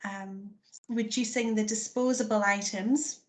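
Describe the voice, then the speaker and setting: A woman's voice: mid-range voice, fairly even intonation, measured pace. A woman hosting a student careers event, summarizing a guest's story in an encouraging way. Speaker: event host.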